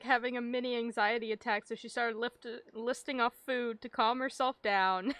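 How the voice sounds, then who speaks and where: A woman's voice: a little high, sharp tone, no room sound. A woman game streamer reacting casually and expressively in an informal live commentary.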